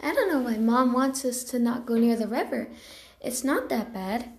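A woman voicing an excitable young female cat kit in amateur animated character lines, eager and expressive. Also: on the high side, moderate pace, reverberant. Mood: pleading, carefree.

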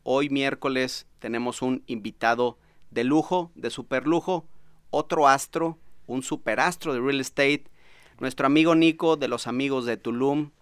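A man with a Mexican accent hosts a conversational podcast interview. His voice is clean and resonant, at an ordinary male pitch. He is recorded close on a studio mic in a dry, padded room. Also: normal speed, smooth, dry recording. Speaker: podcast host. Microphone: studio microphone with headphones.

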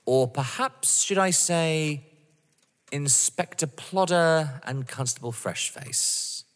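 A man's voice: fairly high pitch, slow pace. An actor playing a vengeful young man running a sadistic game, mocking and toying with theatrical relish in an audio drama. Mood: smug. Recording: long reverb.

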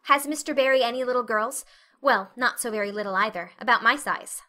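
A woman acts out a dreamy, talkative girl of eleven from a storybook. Her voice is high and bright. She gushes in a breathless, wide-eyed way. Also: fairly quick. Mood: curious, eager. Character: dreamy, chatty, excitable eleven-year-old orphan girl. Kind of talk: dramatic audiobook reading.

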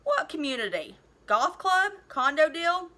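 Incredulous policeman played by a woman reader, storybook role; high, bright, questioning delivery. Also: big pitch swings, measured pace, heavily acted. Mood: sceptical. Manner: questioning, disbelieving. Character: sceptical, incredulous male police officer questioning a teenager.

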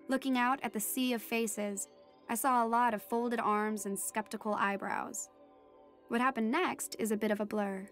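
A woman's voice: medium pitch, close to an everyday voice. A young woman playing an earnest teenage daughter, narrating a first-person audio drama in a reflective way. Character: teenage daughter of a marine biologist, earnest storyteller. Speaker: narrator and lead character.

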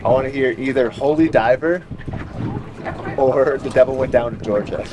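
A man's voice: medium pitch, normal speed, noisy background. A man trading casual banter while fishing out on a boat at sea. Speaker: fishing companion.

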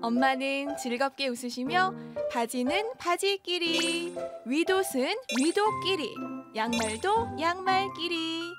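A woman in her twenties hosting a children's TV show and narrating a storybook, speaking in a gentle, sing-song, exaggerated way for kids. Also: very high voice, lively intonation, slow pace. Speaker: children's TV host. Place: bright TV studio set. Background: children's music.

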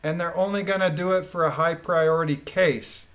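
A man reading a document aloud and adding informal, emphatic commentary with halting phrasing. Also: fairly high pitch, fairly even intonation, a bit bright. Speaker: commentator, former police officer.

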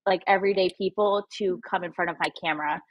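A Spanish-speaking woman in her thirties from Puerto Rico, giving advice in a lively video-call interview through earbuds. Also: fairly low pitch, fast, dry recording, dull audio. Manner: animated, acts out quoted voices. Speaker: guest photographer and coach. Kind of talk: casual livestream interview. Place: room at home. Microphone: wireless earbuds, video call.

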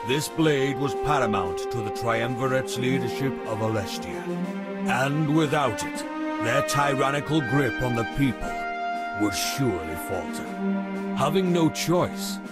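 A man acting as a solemn storyteller, narrating a fantasy video game prologue in a grave, measured, dramatic manner. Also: mid-range voice, expressive, over loud music, close to an everyday voice.

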